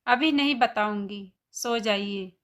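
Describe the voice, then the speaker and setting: A woman playing a shy, dutiful young newlywed daughter-in-law in an animated story, soft and polite. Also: medium pitch, slow. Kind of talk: animated moral story dialogue.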